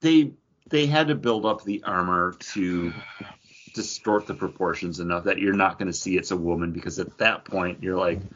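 Male podcast co-host, deep and rough-edged, opinionated casual chat, slightly dull lo-fi recording. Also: normal speed, a bit bright. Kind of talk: casual chat about comic book movies.

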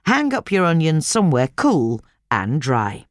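A woman reading a scripted instructional article aloud as narrator, in measured, clearly separated phrases. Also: low voice, wildly swooping pitch, no echo, studio quality.

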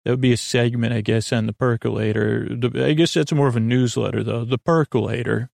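Creaky, lulling man rambling softly to listeners. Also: medium pitch, fairly even intonation, brisk, no room sound, clean studio sound. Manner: meandering, tangential, trailing off and restarting; lulling, soothing. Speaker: podcast host. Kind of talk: rambling sleep podcast monologue.

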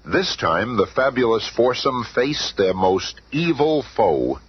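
A man's voice: mid-range voice, sing-song, slow, husky, faint music behind, heavily acted. A man playing a theatrical, conspiratorial storyteller who narrates a superhero radio drama. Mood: conspiratorial suspense. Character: excitable, theatrical male comic-book storyteller narrator. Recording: dull, faintly noisy old radio recording.

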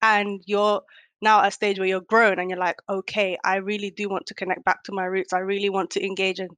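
A woman's voice: mid-range voice, little pitch movement, a little fast, fairly bright tone, no echo. A young woman born in Kenya, speaking reflectively in a casual conversation, with lots of "um"s. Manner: reflective, many fillers.

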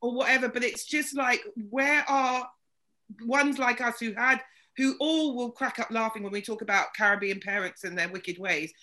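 Bright-voiced chatty woman host. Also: a little high, steady tone, normal speed. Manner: chatty, emphatic, asks guests questions. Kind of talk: casual podcast chat. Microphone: video call.

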